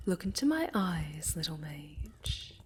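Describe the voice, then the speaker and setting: A woman playing a sinister, seductive necromancer villain in a fantasy ASMR roleplay, soft-spoken and half-whispered, with menacing, deliberate delivery. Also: deep voice, sing-song, a little hiss or hum, full character voice. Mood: sinister, controlling. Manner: slow, deliberate, menacing, savoring pauses.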